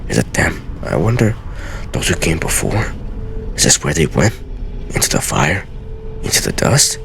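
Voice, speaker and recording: A man with a gravelly voice doing a theatrical, deliberate dramatic reading as a let's play host, sometimes stumbling over words. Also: deep voice, very animated, a bit bright, a little hiss or hum. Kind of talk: dramatic reading of video game journal pages. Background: music.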